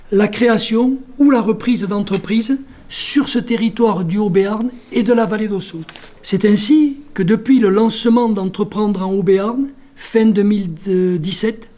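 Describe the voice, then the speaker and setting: Male public official, high voice with a mellow, dark edge, reading a formal address. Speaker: local elected official giving a speech. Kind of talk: formal prepared speech, read out.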